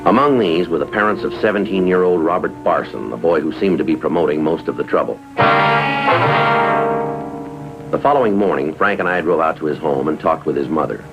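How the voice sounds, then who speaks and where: A man's voice: rumbling low, quick pace, hardly any pauses. A man playing a stern, methodical American police sergeant in a 1950s TV crime drama, clipped and matter-of-fact. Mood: matter-of-fact narration. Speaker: narrator and lead police sergeant. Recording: muffled 1950s TV soundtrack, music underneath.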